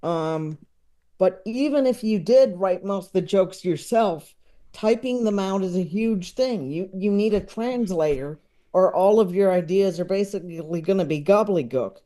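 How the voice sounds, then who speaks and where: A man chatting casually as a podcast guest, talking in a halting, rambling way. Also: sits high, mellow. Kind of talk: casual interview chat.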